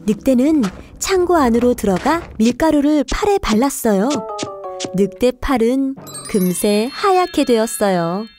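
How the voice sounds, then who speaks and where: High, mellow woman storyteller. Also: animated, a little slow. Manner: clear storytelling to young children. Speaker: narrator. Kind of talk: scripted children's fairy-tale narration. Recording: faint music behind the voice.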